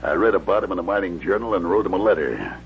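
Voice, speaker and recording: An actor playing a scheming male outlaw gang boss in a 1950s radio western, confident and plain-spoken, with a gravelly voice. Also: deep voice, expressive, fast. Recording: dull lo-fi old radio recording, hum.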